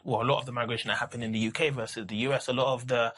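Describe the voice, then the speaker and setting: A man in his thirties from the UK, a podcast guest talking casually and haltingly, with many restarts and fillers. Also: mid-range voice, little pitch movement, fairly bright tone. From United Kingdom. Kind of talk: casual conversational interview.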